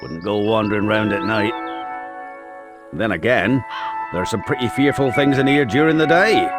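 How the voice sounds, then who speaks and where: An actor playing a big, friendly, rustic groundskeeper, homely and folksy, in a video game. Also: male voice, lowish voice, normal speed, lightly acted. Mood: cautioning. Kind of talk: video game cutscene acting. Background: music.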